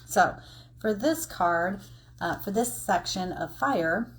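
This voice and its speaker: A woman's voice: mid-range voice, animated, slow. Woman casually explaining an oracle card reading. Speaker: tarot and oracle card reader.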